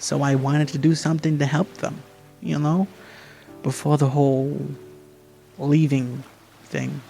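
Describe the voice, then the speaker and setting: A man playing a wistful, frightened, terminally ill young person in an amateur radio drama, speaking in halting, repeated phrases. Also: on the high side, level tone, vocal fry, warm tone. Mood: wistful, hesitant. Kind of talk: amateur radio theatre acting. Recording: music behind the voice.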